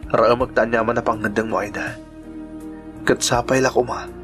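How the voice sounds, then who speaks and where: A man's voice: medium pitch, steady tone, moderate pace, music in the background, close to an everyday voice. A man playing an earnest, devoted suitor in an Ilocano radio drama, speaking with sincere emotion. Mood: earnest, pleading. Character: earnest lovestruck suitor, a man devoted to Aida and her boy.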